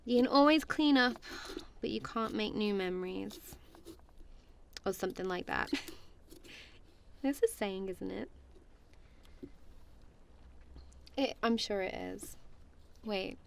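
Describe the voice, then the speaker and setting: A woman's voice: mid-range voice, slow, creaky, pauses often, a little hiss or hum. A woman playing a goofy, affectionate friend in an ASMR roleplay, chatting casually in a playful, giggly, rambling way. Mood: cosy, upbeat.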